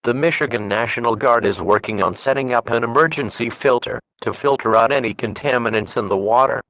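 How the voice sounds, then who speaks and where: A synthetic man's voice reading a formal scripted emergency alert in a flat, measured manner. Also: mid-range voice, no echo, rough recording.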